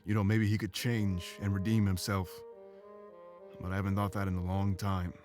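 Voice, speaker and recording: Man voicing deep, weary, ancient game companion. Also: flat delivery, normal speed, darkish tone, soft background music, natural voice. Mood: bitter resolve. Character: ancient, weary, remorseful male anthropomorphic animal companion. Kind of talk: visual novel game voice acting.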